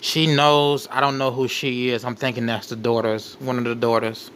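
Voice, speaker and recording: A man hosting a show, reading a document aloud with off-the-cuff commentary in a halting way, repeating and rephrasing as he goes. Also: medium pitch, little pitch movement, a bit bright, a little hiss or hum. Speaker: late night show host.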